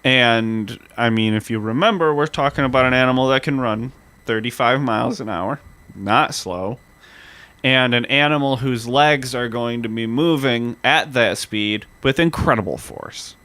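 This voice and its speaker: A man's voice: mid-range voice, moderate pace, bright, forceful tone, dry recording. A man co-hosting a podcast, casually recounting history and laughing along with his co-host. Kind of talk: casual chat.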